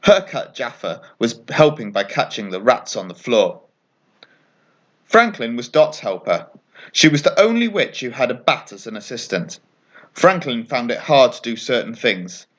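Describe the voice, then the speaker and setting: A man reading a children's bedtime story aloud as an expressive narrator. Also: mid-range voice, normal speed, lo-fi sound.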